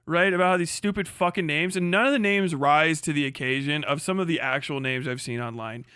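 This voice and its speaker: A man's voice: on the high side, brisk, sharp tone, smooth, no room sound, clean studio sound. A young man streaming, chatting casually in a crude, incredulous way with frequent laughter. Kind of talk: casual chat.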